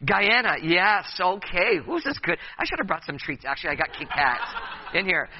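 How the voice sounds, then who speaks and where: A man's voice: sits high, big pitch swings, moderate pace, breathy edge, dull audio. A man in his thirties speaking to a room of youth leaders at a session, hesitant with many fillers and restarts, and playful with the audience. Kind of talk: conference talk to youth leaders.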